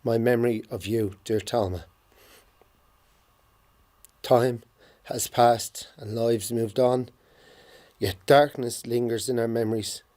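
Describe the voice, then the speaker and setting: A man in his forties with a Dublin accent reads a written eulogy. His voice is soft-edged and dark, at a medium pitch, and his delivery is solemn and sometimes halting. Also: fairly even intonation. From Ireland. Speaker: bereaved brother giving a tribute. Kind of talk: reading a prepared poetic eulogy.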